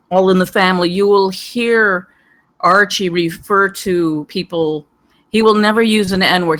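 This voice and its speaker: A panelist giving digressive, halting spoken feedback on a script, wandering from point to point. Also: mid-range voice, fairly bright tone. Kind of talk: rambling spoken critique.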